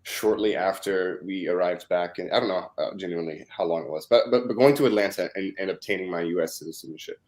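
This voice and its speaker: A man in his twenties from Russia, answering interview questions in a hesitant, halting way with many fillers. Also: sits low, darkish tone. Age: 20s. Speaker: interview guest.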